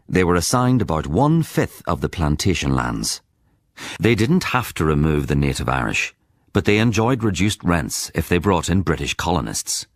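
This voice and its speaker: A man giving scripted documentary narration in a measured, even storytelling manner, close to a studio mic. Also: low-pitched, dry recording.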